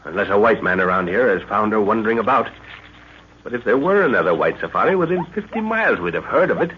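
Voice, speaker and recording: An actor playing an older professor and explorer, a concerned father with a deliberate, authoritative manner, in an old radio adventure. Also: fairly low pitch, sing-song. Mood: uneasy. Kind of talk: 1940s radio adventure acting. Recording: muffled, hissy old radio recording.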